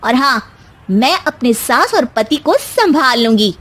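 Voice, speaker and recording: A woman voicing a young newlywed daughter-in-law who is polite, calm and firmly assertive. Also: high voice, takes it slowly, pitched above the performer's own voice, exaggerated voice. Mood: firm, indignant. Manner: polite but firm.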